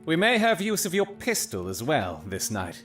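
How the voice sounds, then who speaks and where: An actor playing a keen, commanding gentleman detective in an audio drama, speaking in deliberate, formal, dramatic phrases. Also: on the high side, wildly swooping pitch, soft background music. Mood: analytical, intent.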